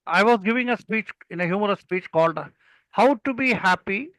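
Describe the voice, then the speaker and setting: A man's voice: high voice, expressive, fairly quick, fairly bright tone, dry recording, low-quality recording. An older man with an Indian accent, speaking as a mentor in an interview and telling anecdotes in an emphatic storytelling way. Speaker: public speaking mentor and guest.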